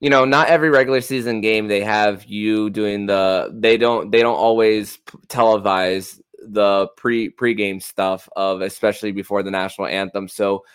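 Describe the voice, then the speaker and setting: A man hosting a sports talk podcast, speaking in a halting way and often repeating words mid-sentence. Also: lowish voice, fairly even intonation, clear, resonant tone, dry recording. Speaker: podcast host.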